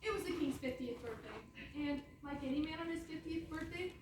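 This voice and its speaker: High-voiced woman narrating in an echoey hall. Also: expressive, brisk, background noise. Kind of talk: comic scripted narration on stage. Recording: very echoey hall, far from mic.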